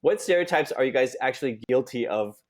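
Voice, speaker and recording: Man, panel moderator, easygoing, hesitant fillers, middle pitch. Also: expressive, a little fast. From California Bay Area. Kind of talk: casual live-streamed panel chat. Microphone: home video call.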